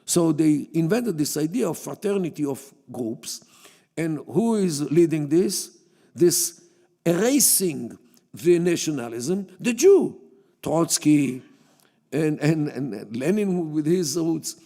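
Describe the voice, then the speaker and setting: A man whose first language is Yiddish gives a public lecture, talking haltingly with many fillers and restarts. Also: fairly high pitch, expressive, vocal fry, warm tone. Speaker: guest lecturer. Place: synagogue hall. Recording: long hall reverb.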